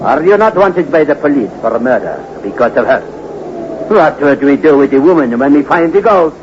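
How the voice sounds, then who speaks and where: A man's voice: fairly high pitch, lively intonation, lightly acted. Stefano, a man playing a sly treacherous guide. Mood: scheming. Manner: halting broken English, sly and falsely friendly. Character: scheming male village guide, treacherous killer. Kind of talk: 1940s radio acting. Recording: muffled old radio recording with hiss.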